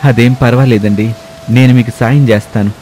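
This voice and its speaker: A man's voice: medium pitch, fairly even intonation, a little fast, dark tone, slight background noise, natural voice. A man playing an honest, humble poor village husband who speaks earnestly and respectfully in a story.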